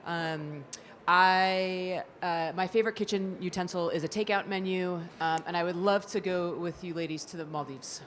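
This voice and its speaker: A man's voice: high-pitched, fairly even intonation, moderate pace, fairly bright tone, slight background noise. A man introducing himself at a workshop with self-deprecating humor. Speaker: incubator network leader. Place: conference room.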